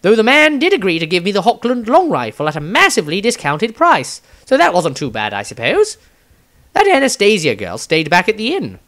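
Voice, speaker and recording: A man's voice: high-pitched, wildly swooping pitch, fairly quick, a bit bright, voice pushed up, exaggerated voice. A man voicing an eccentric, grumbling wizard diarist in a fantasy story, theatrical, rambling and wry. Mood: wry, grudging. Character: eccentric, grumbling, self-satisfied male wizard adventurer diarist.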